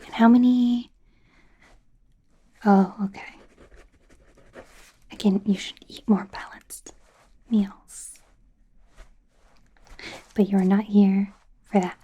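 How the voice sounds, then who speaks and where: Woman doing a shy bumbling werewolf doctor lady, asmr roleplay, soft breathy whisper, slow and rambly, keeps putting herself down. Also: medium pitch, steady tone, lo-fi sound. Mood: hesitant, self-doubting. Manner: hesitant, rambling, self-deprecating. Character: nervous, awkward, apologetic female werewolf doctor.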